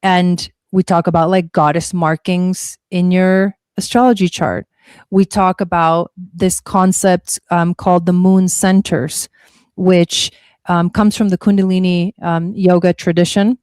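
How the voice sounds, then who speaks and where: Female spiritual teacher, interview guest. Slightly low voice, close dry sound, chatty explainer who rephrases as she goes. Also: flat delivery, unhurried, darkish tone, clean tone, no room sound. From born in Argentina, grew up in California. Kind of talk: casual explanatory interview talk.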